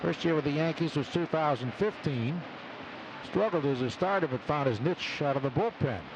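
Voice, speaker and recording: A man calling live baseball play-by-play on the radio in short, clipped phrases packed with stats between pitches. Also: animated, moderate pace. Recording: noisy live outdoor radio broadcast. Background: loud ballpark ambience.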